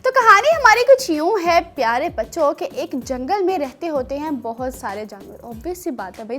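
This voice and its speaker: A woman with a high voice hosts a children's programme. She chats and teases in an animated way as she talks to young listeners and tells stories, with faint music behind her. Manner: teasing, animated, talking to children. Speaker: children's TV show host. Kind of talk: chatty children's show presenting and storytelling.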